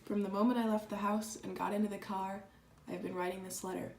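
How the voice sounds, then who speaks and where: A woman playing an earnest, proud and candid letter writer in a dramatized reading, speaking reflectively and deliberately. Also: mid-range voice, flat delivery, a little mellow, close to an everyday voice. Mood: confessional. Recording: echoey room with clear background noise.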